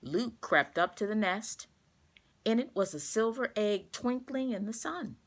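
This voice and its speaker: A woman reading a children's storybook aloud, expressive and carefully paced for young listeners. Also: medium pitch, a little slow.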